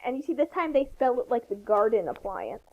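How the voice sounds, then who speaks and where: High-voiced woman, slightly muffled, joking commentary. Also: sing-song, fairly quick. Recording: slightly dull lo-fi voice channel.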